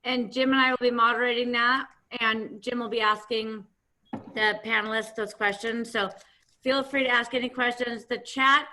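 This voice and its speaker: A woman with a high, bright voice co-moderates an online seminar. She gives practical announcements and instructions to the people attending. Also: steady tone, normal speed, low-quality recording. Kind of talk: housekeeping announcement to online audience. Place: video call.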